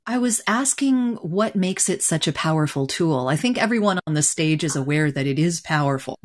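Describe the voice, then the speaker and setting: Woman, low voice, casual, friendly, video call panel moderator. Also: animated, moderate pace.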